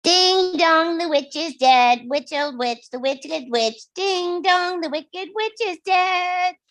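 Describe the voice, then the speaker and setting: Woman as a tiny singsong munchkin, very high. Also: slow, a bit bright, heavily acted. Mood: celebratory. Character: small, cheerful munchkin townsfolk, chanting gratefully. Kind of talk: musical lyrics read out in singsong.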